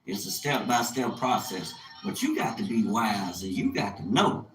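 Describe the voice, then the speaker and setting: A man's voice: on the low side, sing-song, moderate pace, fairly bright tone, faint music behind. A man in his fifties talking casually on a livestream, colloquial and rambling, often repeating phrases for emphasis. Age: 50s. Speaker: livestreamer, small business owner. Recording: echoey room with faint background noise.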